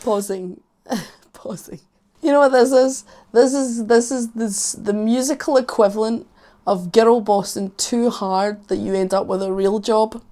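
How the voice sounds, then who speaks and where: A woman's voice: fairly high pitch, a little slow, warm tone. A Scottish woman in her thirties, a vocal coach giving a casual music reaction with sarcastic, wry asides, while losing her voice. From Scotland.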